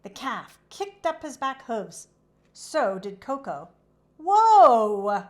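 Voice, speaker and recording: A woman who hosts children's read-alouds, narrating a storybook expressively in a measured, animated way. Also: a little high.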